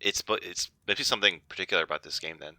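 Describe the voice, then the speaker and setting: A man's voice: low voice, quick pace, sharp tone, no room sound. A male streamer giving casual, joking let's-play commentary, chatty and rambling.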